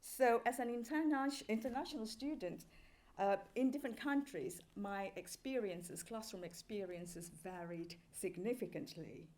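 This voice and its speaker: A middle-aged woman from Malaysia giving a public lecture as a professor, speaking graciously with hesitant pauses. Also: medium pitch, a little mellow. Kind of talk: public lecture, semi-scripted. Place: lecture hall with a live audience.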